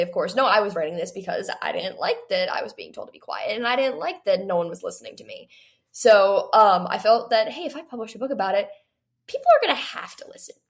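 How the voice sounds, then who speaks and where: A woman in her late teens, a guest talking conversationally and earnestly as she explains things in an interview. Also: medium pitch. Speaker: guest, young adult author.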